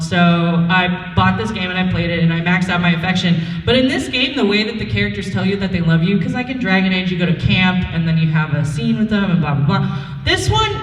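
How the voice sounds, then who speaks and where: A woman voice actor telling casual comic anecdotes on a live convention panel, bawdy, self-mocking and sweary. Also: fairly low pitch. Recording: echoey hall, distant mic, background noise.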